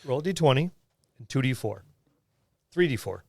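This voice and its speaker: A man's voice: medium pitch, animated, moderate pace, creaky, no room sound, clean studio sound. A man hosting a casual tabletop role-playing podcast, talking in a rambling way and explaining things through examples. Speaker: podcast host and experienced game master.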